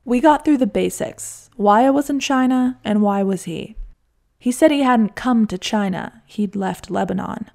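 A woman's voice: medium pitch, unhurried, creaky, a little mellow. A woman narrating a personal travel story for her listeners, wry and reflective, with a self-deprecating edge. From United States. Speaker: podcast narrator.

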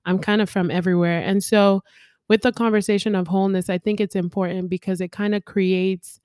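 A woman's voice: medium pitch, steady tone, brisk, darkish tone, dry recording. Reflective young woman, dry close sound. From Congo and South Africa. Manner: reflective, talks in long flowing thoughts. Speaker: podcast co-host. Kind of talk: casual conversation.

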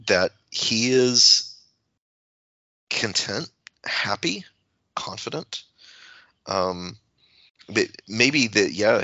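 A man in a reflective literary discussion, halting and searching as he thinks aloud and circles back over phrases. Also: sits low, pushed and bright, dry recording, muffled recording. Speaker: podcast panelist.

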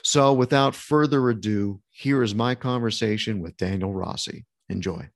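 A man's voice: lowish voice, moderate pace, creaky. A man hosting a podcast, chatting in a friendly, conversational way and then starting an interview. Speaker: podcast host.

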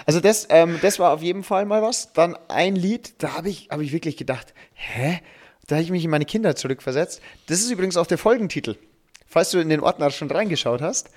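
A man chats casually as a podcast co-host, telling anecdotes in a joking, animated way. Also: fairly high pitch, brisk. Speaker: podcast co-host, school teacher.

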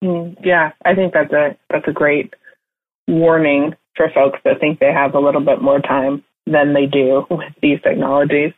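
A woman's voice: low voice, flat delivery, moderate pace, dry recording. A woman hosting an interview podcast, putting thoughtful questions to a guest, heard over a dry, tinny phone-like line.

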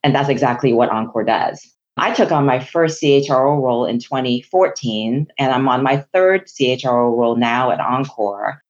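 A woman from Hong Kong whose first language is Chinese, a guest answering interview questions in a measured, businesslike way. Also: rumbling low, muffled recording. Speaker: guest, HR executive.

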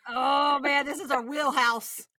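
Bright, high-voiced Texan woman bantering casually. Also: fairly even intonation, unhurried, no echo. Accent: Texan American. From West Texas, United States. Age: 40s. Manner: informal, bantering, emphatic. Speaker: podcast co-host. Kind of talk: casual comedic chat.

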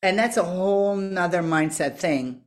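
A woman in her thirties from Serbia talks as a podcast guest. Her voice sits low. She tells her story candidly and keeps quoting her own past thoughts. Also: moderate pace. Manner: candid, animated, frequent "like" and quoted self-talk. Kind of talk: conversational storytelling.

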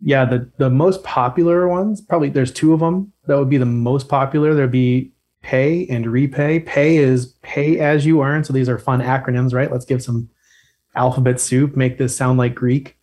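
A man's voice: mid-range voice, steady tone, normal speed, darkish tone. A man talking as a podcast guest, explaining things in a chatty, lightly joking way. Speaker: guest expert on loans. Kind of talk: casual podcast chat.

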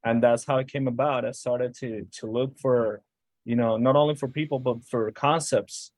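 A man from Caracas with a Venezuelan Spanish accent, a guest musician answering interview questions in a halting way, searching for words. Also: medium pitch, fairly even intonation, no echo. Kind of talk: casual interview chat.

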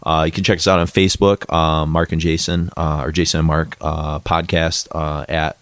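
A man with a very low voice co-hosts a casual podcast. He rambles, with plenty of fillers and restarts. The sound is dry and close on the mic. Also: flat delivery, moderate pace, a bit bright, no room sound. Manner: rambling, lots of filler words. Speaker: podcast co-host. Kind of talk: casual chat. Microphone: studio podcast mic.

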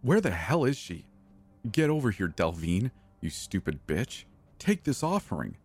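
A man's voice: very animated, normal speed, a little mellow. A man voicing a cruel, taunting teenage girl in a spoken horror story. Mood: angry. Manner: mocking, shrill and sneering.

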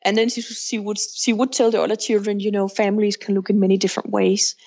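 A woman's voice: medium pitch, flat delivery, fast talker, darkish tone, dull audio. Danish-accented woman, frank and plainspoken. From Denmark. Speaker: guest, author. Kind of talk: remote podcast interview.

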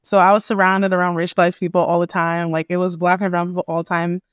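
A woman's voice: lowish voice, little pitch movement, fast talker, clear, resonant tone, dry recording, clean studio sound. A young woman from Canada and the Caribbean, chatting casually on a podcast, laughing often and filling her speech with "like". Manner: laughs often, many filler likes. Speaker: podcast guest. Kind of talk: casual group conversation.

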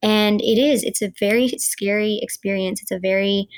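A woman's voice: medium pitch, steady tone, normal speed. A twenty-year-old American woman from North Carolina, a student giving a personal account as an interview guest. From North Carolina, USA.

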